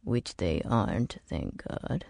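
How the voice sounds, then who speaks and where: Female narrator doing grim older guy, low and creaky, bitter, measured audiobook dialogue. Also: very animated, a little mellow, no echo, clean studio sound, heavily acted. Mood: bitter, muttering. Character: older man, bitter, grim, world-weary keeper of secrets.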